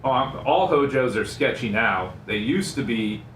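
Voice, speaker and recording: A man's voice: mid-range voice, animated, normal speed, a bit bright. A man on a radio show crew, talking casually in on-air banter. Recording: roomy, off-mic, faint background noise.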